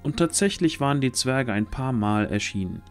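A man's voice: mid-range voice, lively intonation, normal speed, clean tone. A man narrating a scripted fantasy story as the narrator of an audio drama. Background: background music.

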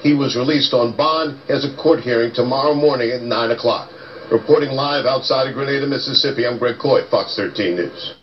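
A man's voice: mid-range voice, a little fast. Brief: male TV field reporter, formal read, muffled broadcast sound. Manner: steady, formal news delivery. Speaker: TV news reporter. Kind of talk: live TV news sign-off. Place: outdoors, live field shot. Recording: dull lo-fi broadcast audio with faint noise.

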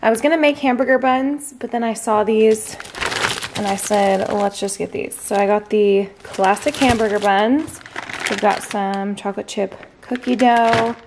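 A chatty woman in her thirties talks through her groceries at home, her voice slipping into a gravelly fry as she goes. The sound comes from a handheld vlog camera in a kitchen, with a faint hiss of background noise underneath. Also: medium pitch. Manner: chatty, upbeat, lots of fillers. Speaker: vlogger. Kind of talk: casual grocery haul chat.

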